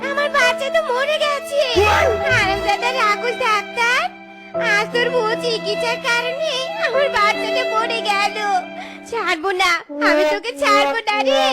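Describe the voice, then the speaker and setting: A woman's voice: very high-pitched, moderate pace, over music. A woman voicing a distraught parent in a cartoon, in a melodramatic style. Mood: grieving, furious. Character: distraught cartoon parent pleading, then raging at a doctor. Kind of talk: cartoon comedy dubbing.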